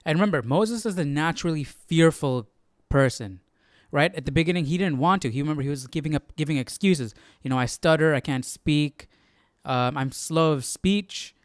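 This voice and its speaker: A man's voice: on the high side, moderate pace, dry recording, studio quality. A man hosting a livestream study session, commenting in a casual, informal way and often checking in with listeners. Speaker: host of a Bible study livestream.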